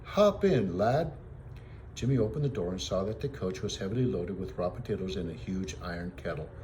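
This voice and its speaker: A man with a fairly low voice reads a children's story aloud like a teacher. His narration is expressive, and there is faint noise in the recording. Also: fast talker.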